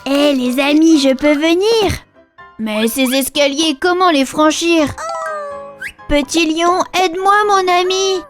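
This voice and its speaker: A woman's voice: sits high, slow pace. A woman voicing a mischievous young orc child who is greedy and cheeky, speaking in a whiny, rhyming way. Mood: pleading. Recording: cartoon dub with music behind.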